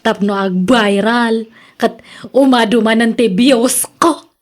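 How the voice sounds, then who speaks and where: A vain woman vlogger in an Ilocano radio drama. Her voice is high and she stretches her words slowly. She is stubborn and self-important, with a theatrical, accented delivery. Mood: defiant, self-important.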